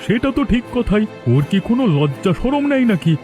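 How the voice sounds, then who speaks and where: Man voicing a very high-pitched, nosy village husband. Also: big pitch swings, a little fast, a little mellow, faint music behind. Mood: shocked disapproval. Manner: questioning, easily shocked. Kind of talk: cartoon voice acting.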